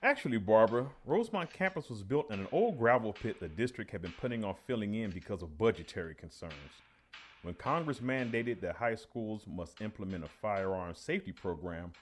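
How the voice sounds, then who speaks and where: An actor playing an earnest veteran high school teacher giving a measured, official interview in a radio play. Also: male voice, slight background noise, lightly acted. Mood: proud, matter-of-fact.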